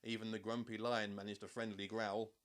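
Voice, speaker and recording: A man reading a children's story as an audiobook narrator, in a measured, expressive storytelling manner. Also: fairly low pitch.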